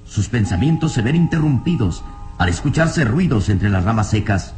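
A man's voice: on the low side, sing-song, moderate pace, a little mellow. A radio serial narrator who tells the story solemnly and with suspense. His delivery is theatrical, rising and falling widely, with prominent music behind it. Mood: suspenseful.

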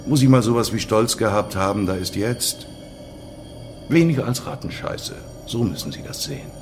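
An actor in a German radio play playing a cynical, coolly menacing Soviet chief prosecutor, with theatrical, drawn-out emphasis that can sink toward a whisper. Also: male voice, on the low side, animated, soft background music. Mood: taunting, superior.

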